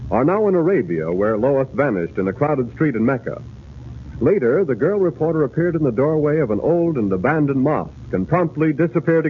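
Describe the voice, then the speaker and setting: Man, theatrical serial narrator, lively intonation, emphatic, phone-like 1940s broadcast with faint noise. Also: medium pitch. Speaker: announcer and narrator. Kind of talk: dramatic 1940s radio narration. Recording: tinny, noisy 1940s radio broadcast.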